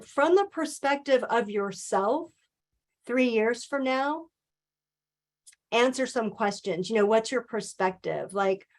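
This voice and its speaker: Calm, earnest woman coaching on mindset. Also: fairly high pitch. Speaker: guest speaker, author and financial advisor. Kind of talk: webinar interview, motivational talk.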